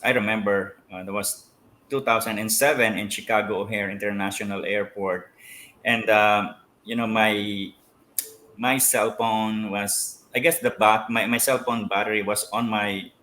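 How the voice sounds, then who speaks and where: A middle-aged man with a Filipino accent, a pastor answering interview questions in halting speech with many fillers and restarts. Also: lowish voice, sharp tone, slight background noise. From Philippines. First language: Filipino language. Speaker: pastor, guest.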